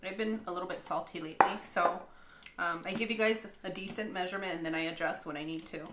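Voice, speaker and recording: A woman in her thirties hosting a casual cooking demonstration in a bright home kitchen, chatty and upbeat, laughing often. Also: fairly low pitch, fairly even intonation, fast talker, slight background noise. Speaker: cooking show host.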